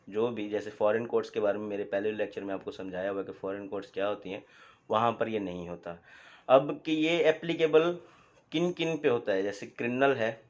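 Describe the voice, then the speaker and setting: A man with a Pakistani accent gives an explanatory law lecture, teaching didactically and often asking questions that he answers himself. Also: mid-range voice. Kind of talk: explanatory lecture, mixing Urdu with English legal terms. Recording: dull, lo-fi sound.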